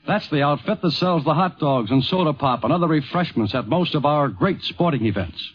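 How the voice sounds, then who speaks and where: A man narrates a vintage 1950s radio documentary from a script. His voice sits a little high, over music, in a dull old broadcast recording. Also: lively intonation, fairly quick, husky. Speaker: narrator and host.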